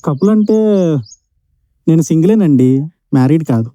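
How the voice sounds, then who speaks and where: A man's voice: on the high side, lively intonation, normal speed, dark tone, dry recording, studio quality. A man answering a phone call and chatting casually. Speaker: boyfriend receiving a prank call.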